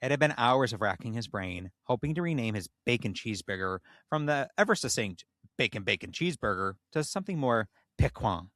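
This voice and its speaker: A man's voice: mid-range voice, lively intonation, a little fast, a bit bright, dry recording, studio quality. A man hosting a comedy podcast, reading a story aloud in a mock-solemn tone and breaking into casual banter.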